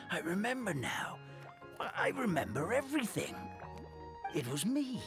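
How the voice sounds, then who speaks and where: An actor playing an excitable, reverent old man in a fantasy video game, with a theatrical, halting delivery. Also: fairly high pitch, heavily acted. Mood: awed, remembering. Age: older. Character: excitable old storybook townsman, earnest and reverent.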